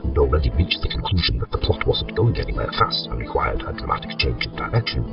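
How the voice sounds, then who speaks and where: A man playing a solemn storyteller, giving mock-dramatic scripted narration of an Edwardian comedy mystery. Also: low voice. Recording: dull recording, distant, music bed.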